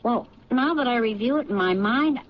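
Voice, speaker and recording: A woman's voice: a little high, expressive, moderate pace, close to an everyday voice. An actress playing a newly married policewoman in a 1970s radio drama, telling her story in a hesitant, apologetic way. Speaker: police officer. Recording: dull lo-fi 1970s radio recording.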